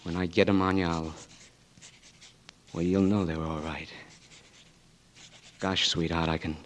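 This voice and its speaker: A cocky blinded young Marine. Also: male voice, low voice, level tone, unhurried, slight background noise. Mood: tender. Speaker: patient. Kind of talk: 1940s radio acting.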